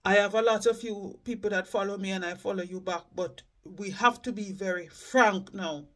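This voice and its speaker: A man from the Caribbean giving frank, earnest reaction commentary as a host. His voice sits high for a man and comes across measured. He sounds a little distant from the microphone. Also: fairly even intonation, fairly bright tone.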